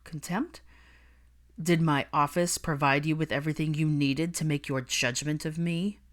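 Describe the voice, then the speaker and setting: A woman voicing a cold, snide, guarded man from a story, with a clipped and deliberate delivery. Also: sits low, fairly even intonation, natural voice. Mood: suspicious.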